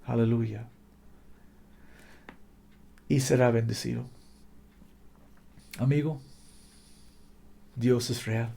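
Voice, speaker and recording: A man's voice: medium pitch, fairly even intonation, drawn-out words, vocal fry, pauses often, dark tone, slightly rough. A man preaching a fervent sermon in a language that is not his native one, exhorting listeners with repetition and occasional slips. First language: not Spanish.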